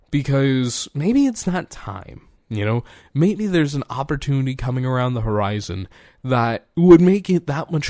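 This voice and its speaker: A man's voice: medium pitch, a little mellow, no echo, studio quality. A man in his twenties hosting a solo podcast, rambling casually, swearing freely and laughing at himself. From United States. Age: 20s. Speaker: podcast host.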